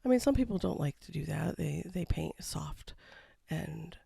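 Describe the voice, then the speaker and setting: An artist talking casually over a painting time-lapse, with a froggy voice from a cold and a low-key, rambling manner. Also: animated, normal speed, creaky, dark tone, slightly rough, dry recording, clean studio sound. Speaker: watercolor artist. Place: home studio.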